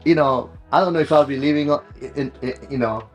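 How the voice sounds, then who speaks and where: A middle-aged man from South Korea with a Korean accent, chatting casually and haltingly, often saying "you know". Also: a little high, muffled recording, faint music behind. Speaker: client visiting a workshop. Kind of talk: casual conversation.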